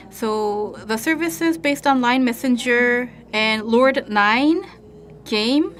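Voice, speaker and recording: A woman interpreting live at a conference panel. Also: high-pitched.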